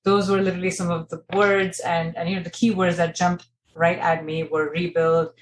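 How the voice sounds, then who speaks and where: A woman's voice: fairly low pitch, level tone, moderate pace, slight background noise, rough recording. A woman in her thirties from Kuwait, telling her life story in a podcast interview with many fillers and restarts. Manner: conversational, many "you know" fillers and restarts. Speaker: interior designer, guest.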